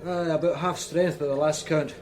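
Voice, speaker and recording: An actor playing a weary, deferential Scottish police officer in a radio drama. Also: male voice, on the high side, fairly even intonation, normal speed, darkish tone, close to an everyday voice. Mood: deferential, businesslike. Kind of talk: naturalistic radio drama acting. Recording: clearly noisy background.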